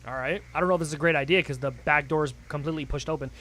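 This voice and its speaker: A man with a fairly high voice hosts a reaction video. He talks casually and in slang, mocking what he watches and repeating himself to make a point. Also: very fast, fairly bright tone, a little hiss or hum. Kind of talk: casual running commentary on a horror series.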